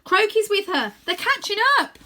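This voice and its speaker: A woman's voice: extremely high pitch, lively intonation, normal speed, pushed and bright, voice pushed up, full character voice. A woman voicing a frightened young child in a storybook, calling out with breathless urgency. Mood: alarmed.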